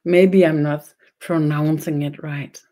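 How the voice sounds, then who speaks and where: Slow, soft-spoken Danish-accented woman. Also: low voice, steady tone. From Denmark. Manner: halting, pauses often, repeats words. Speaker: spiritual webinar host. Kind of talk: slow meditative teaching.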